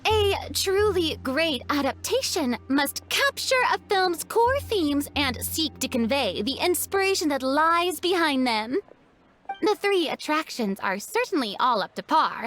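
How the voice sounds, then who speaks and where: A woman's voice: sits high, big pitch swings, unhurried, fairly bright tone, full character voice. A woman voicing a refined, theatrical young woman in a video game, speaking in an eloquent, grandiose and encouraging way. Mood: earnest, eloquent.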